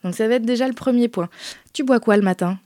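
A woman's voice: on the high side, fast, darkish tone, no echo, clean studio sound. A woman, a naturopath guest on a radio show, explaining things in a friendly, reassuring and patient way. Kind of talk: casual explanatory chat.

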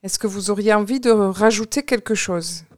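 A woman's voice: medium pitch, normal speed, a little mellow, dry recording, clean studio sound. A woman hosting a radio interview show, friendly, with frequent fillers. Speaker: radio host.

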